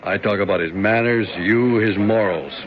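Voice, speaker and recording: An actor playing a worried senator and father in a radio drama, grave and measured. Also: lowish voice, natural voice. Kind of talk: 1970s radio drama acting. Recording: dull lo-fi radio recording with background noise.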